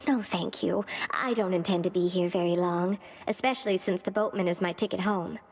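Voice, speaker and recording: A woman's voice: on the low side, steady tone, a little fast, darkish tone. A woman voicing a friendly, chatty little spider weaver in a video game, with polite, genteel phrasing. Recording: long reverberant hall effect.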